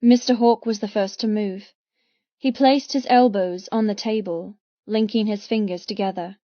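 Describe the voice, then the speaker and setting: A woman reading dramatic first-person audiobook narration in an intense, deliberate manner. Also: medium pitch, fairly even intonation, dry recording, lo-fi sound.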